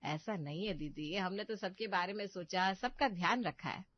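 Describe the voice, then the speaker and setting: A woman plays a meek older mother-in-law in a moral-story cartoon. She sounds defensive and apologetic, at a medium pitch. The recording is dry and slightly dull. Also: normal speed, no room sound, natural voice.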